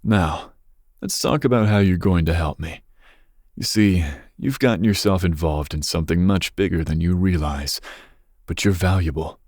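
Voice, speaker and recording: A man playing a dangerous, possessive young mafia boss's son in an intimate ASMR roleplay, speaking breathily with smooth, teasing menace. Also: moderate pace, darkish tone, no echo, studio quality. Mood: persuasive, calculating. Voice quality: breathy, husky low voice. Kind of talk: ASMR roleplay, intimate second-person monologue.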